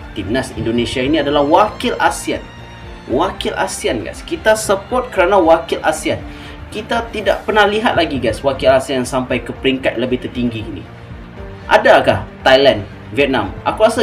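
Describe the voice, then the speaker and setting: A man from Malaysia with a Malaysian accent, chatting emphatically to his viewers about football, jumping between loud bursts and low, trailing asides. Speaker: football reaction YouTuber. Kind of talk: casual chat to viewers.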